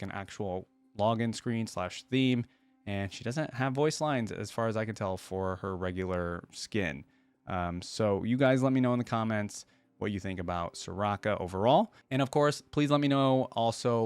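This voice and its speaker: A man's voice: fairly low pitch, clean tone, no echo. A man giving casual, unscripted commentary as a reaction video host, talking in a rambling, conversational way.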